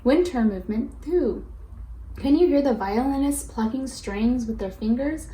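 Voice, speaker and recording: A woman with a fairly high, very soft-edged and mellow voice reads a picture book aloud as a teacher would. Her delivery is instructive and explanatory, pitched to children. The recording is roomy and echoing. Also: expressive, unhurried. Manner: instructive, addresses listeners with questions and prompts. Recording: roomy echo with faint background noise.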